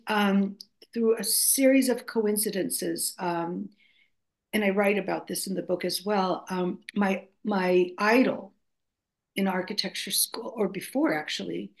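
Slow-speaking older woman, Greek first language. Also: medium pitch, vocal fry. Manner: reflective, hesitant with many restarts and fillers. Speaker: author guest. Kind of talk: conversational storytelling. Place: home room, video call.

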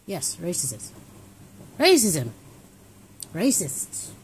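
A woman's voice: on the low side, wildly swooping pitch, drawn-out words, slight background noise, low-quality recording. A young woman from Hong Kong talking casually at home in a personal vlog, rambling and restarting her sentences as she thinks aloud. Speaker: vlogger. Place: home, indoors.

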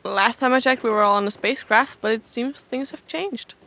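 A woman's voice: fairly high pitch, fairly quick, pushed and bright. A woman acting a weary, stranded astronaut in an audio drama, with a dry, sarcastic manner. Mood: wry, baffled.

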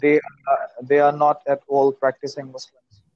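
A man in his thirties from Pakistan, an Urdu speaker, talking on an earphone mic over a video call, measured with frequent uh pauses. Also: mid-range voice, monotone, dry recording. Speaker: English learner and channel host. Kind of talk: casual video call conversation. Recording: dull, dry video call audio.

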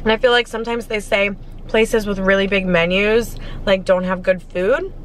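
Young american woman doing a casual gushy eating vlog in her car, normal pitch, bit of street noise. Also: steady tone, moderate pace. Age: 20s. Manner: informal, gushing, talking between bites. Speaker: food vlogger. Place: inside a parked car.

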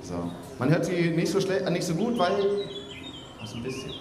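High-voiced man presenting on an echoey stage. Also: big pitch swings, moderate pace. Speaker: poetry slam performer, presenter of the act. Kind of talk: casual live stage patter. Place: tent stage before audience. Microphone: handheld microphone. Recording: echoey live stage, audience noise.

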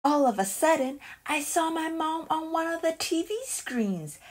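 Woman reading a kids picture book, real slow and expressive, kinda high voice. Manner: expressive, deliberate storytelling. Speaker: read-aloud storyteller. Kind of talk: children's picture book read-aloud.

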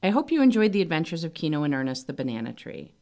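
A woman author reading her children's book aloud in an expressive storytelling style. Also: deep voice, fast talker.

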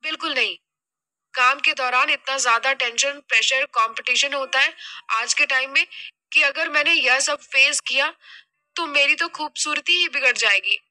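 Woman acting a shrill, spoiled young daughter. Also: moderate pace, bright, forceful tone, poor audio. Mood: pouting.

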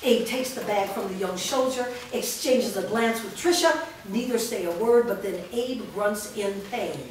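A woman reading the stage directions aloud in a staged reading, with steady, clear narration. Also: mid-range voice, normal speed, a little hiss or hum. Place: large studio room with actors in a circle. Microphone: far camera. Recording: roomy echo from far off.

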